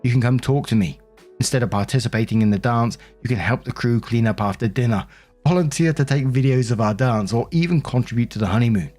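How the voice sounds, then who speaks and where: A man's voice: mid-range voice, fast talker, a little mellow, faint music behind, lightly acted. A man reading out the posts of an indignant, bossy bride in a self-righteous, emphatic manner. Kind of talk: dramatic reading of social media posts.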